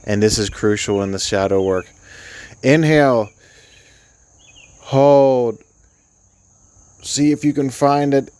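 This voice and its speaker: A man leading a guided sleep meditation, speaking gently and soothingly in an unscripted, halting way with long pauses. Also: medium pitch, clean tone, dry recording.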